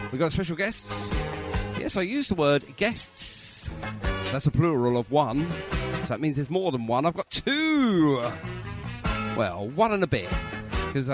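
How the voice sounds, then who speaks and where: Lively, higher-pitched man hosting radio. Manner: cheeky, bantering. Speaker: radio show host. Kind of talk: chatty, jokey radio presenting.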